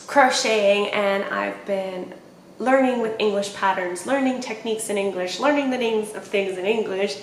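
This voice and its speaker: A woman's voice: fairly high pitch, reverberant, slight background noise, poor audio. A woman in her thirties from Puerto Rico, a native Spanish speaker, chatting casually to camera as a podcast host and often correcting herself. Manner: chatty, rambling, self-correcting. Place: small indoor room with plain walls.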